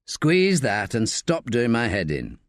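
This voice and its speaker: Man playing a guarded, wry detective, lively delivery. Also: mid-range voice, slow, dry recording, clean studio sound.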